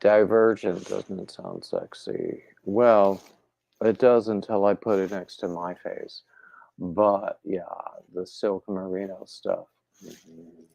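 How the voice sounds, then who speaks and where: A man hosting a casual live chat with his viewers, rambling in a relaxed way and reading their comments aloud, his throat a little congested. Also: low-pitched, slow pace, creaky, dry recording, rough recording. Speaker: livestream host.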